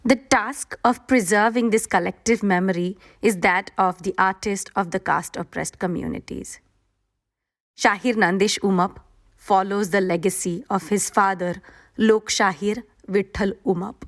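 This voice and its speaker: A woman podcast host reading a scripted narration in a steady, earnest, explanatory way. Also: medium pitch, unhurried.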